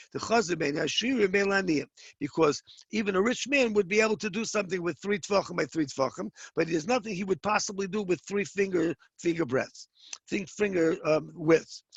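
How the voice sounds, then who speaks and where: Gravelly-voiced rabbi teaching Talmud. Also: male voice, fairly high pitch, no echo. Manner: explains step by step, many restarts, Hebrew and Aramaic terms mixed in. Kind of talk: informal Talmud lecture.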